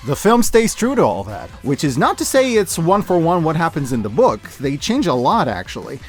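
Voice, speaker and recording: Animated higher-pitched man reviewing on video. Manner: expressive, opinionated, conversational asides. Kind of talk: enthusiastic scripted review commentary.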